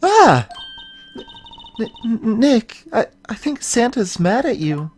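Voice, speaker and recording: A woman plays an earnest young assistant from a video game. She is eager and innocent, easily flustered into stammers, with pitch that jumps around animatedly. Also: very animated, takes it slowly, darkish tone, husky, heavily acted. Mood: startled, excited.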